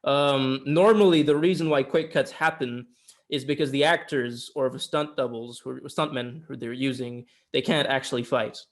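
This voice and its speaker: A man's voice: mid-range voice, steady tone, normal speed. A man co-hosting a film review show in casual discussion, opinionated and informal, given to swearing. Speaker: film review podcast co-host.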